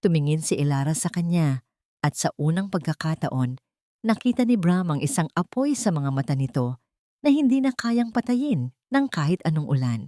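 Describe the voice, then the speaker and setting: Filipino lady reading a dramatic story, low voice, dry close sound, measured delivery. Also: animated, warm tone, no echo. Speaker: narrator of an audio drama. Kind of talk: scripted dramatic story narration.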